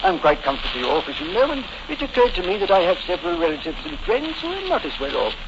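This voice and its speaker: An actor playing a fussy, earnest, wealthy lord with an English accent, polite and hesitant in a 1940s radio drama. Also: male voice, high voice, huge pitch swings, fast talker, full character voice. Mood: earnest, anxious. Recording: noisy, dull old 1940s radio recording.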